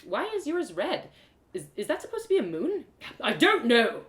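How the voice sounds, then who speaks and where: A woman's voice: on the high side, big pitch swings, normal speed, fairly bright tone, voice pushed up. A woman voicing a headstrong, weary adventurer girl in a storybook, exclamatory and stammering when upset. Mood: startled, exasperated. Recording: roomy echo.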